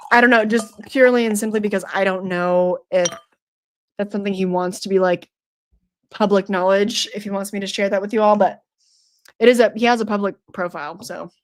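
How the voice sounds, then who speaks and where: A young woman hosting a live stream, chatting casually with her viewers, playful and teasing, laughing often. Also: medium pitch. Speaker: streamer hosting a horror trivia night.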